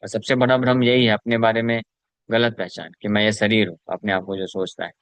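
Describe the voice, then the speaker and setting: A man's voice: lowish voice, flat delivery, fairly quick, a bit bright, smooth, dry recording. A man, a spiritual teacher, giving a devotional scripture lecture in an explanatory way, mixing in English terms and reciting Sanskrit verses.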